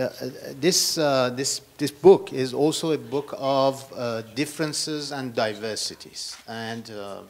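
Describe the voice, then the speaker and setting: Man from Iran, scholar, hesitant, many fillers, faint background noise. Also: medium pitch. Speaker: panelist, scholar. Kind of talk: panel talk.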